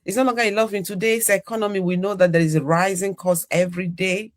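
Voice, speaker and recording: A woman's voice: mid-range voice, steady tone, fast talker. An older woman from Nigeria hosting a live online panel, chatty and full of "you know" fillers. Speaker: podcast host.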